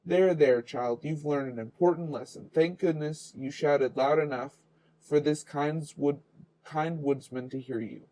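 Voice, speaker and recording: A man's voice: fairly high pitch, measured pace, warm tone, lightly acted, poor audio. A man voicing a kindly, fretful old grandmother in a children's storybook, fussing tenderly. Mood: soothing.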